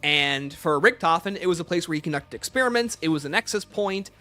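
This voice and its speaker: A man speaks in a fairly high, very lively voice as he hosts a casual livestream. He fields questions with emphatic, opinionated answers, and music plays clearly behind him. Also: fairly quick.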